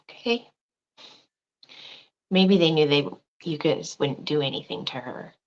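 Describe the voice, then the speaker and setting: A woman chatting casually with a low, unhurried voice, circling back and restarting her thoughts. She says she has a very loud voice. The recording is muffled and lo-fi. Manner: rambling, thinks aloud with restarts. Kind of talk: casual chat.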